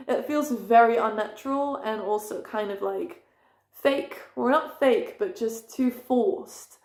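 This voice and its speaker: A young woman chats to camera in a loose, meandering way, correcting herself and filling gaps with "um" and "like." Her voice sits fairly high for a woman, and the room around her rings with noticeable echo. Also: a little mellow. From Netherlands. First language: Dutch. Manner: rambling, self-correcting, many fillers. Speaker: vlogger. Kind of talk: casual personal talk to camera.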